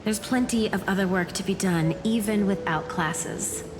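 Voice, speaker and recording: A woman's voice: mid-range voice, moderate pace, a little hiss or hum, lightly acted. A woman playing a kindly, devoted village schoolteacher in a fantasy video game. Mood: kindly, gentle. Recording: clear music behind.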